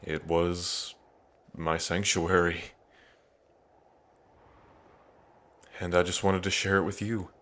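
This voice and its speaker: Guy doing a devoted dragon prince for asmr roleplay, really deep voice, pretty flat and earnest. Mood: somber. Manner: slow, earnest, with long pauses between phrases. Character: lovestruck dragon prince, grieving, tender, devoted. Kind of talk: ASMR roleplay, intimate dramatic monologue.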